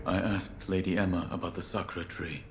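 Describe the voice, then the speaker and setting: Loyal ninja in a game, really low voice, terse and grave, noisy ambience behind. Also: male voice, flat delivery, brisk. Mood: stoic, grave. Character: stoic, loyal male shinobi warrior. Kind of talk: restrained video game acting.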